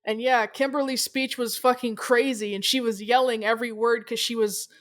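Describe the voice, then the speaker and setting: A woman with a somewhat high voice hosts a casual interview. She speaks informally and bluntly, putting emphasis on her points. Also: steady tone, normal speed, fairly bright tone. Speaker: interview host.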